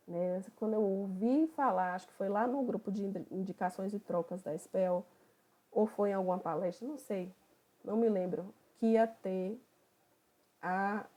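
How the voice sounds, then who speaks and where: A woman from Bahia, a psychoanalyst who opens an online study group in a relaxed, conversational way. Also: mid-range voice. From Bahia, Brazil. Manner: relaxed, conversational, thinking aloud.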